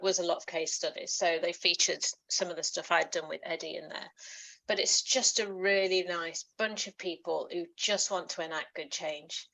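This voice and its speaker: A woman's voice: lowish voice, creaky, fairly bright tone, rough recording. A woman author as interview guest, chatty and enthusiastic, laughing often as she talks. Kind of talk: book club interview.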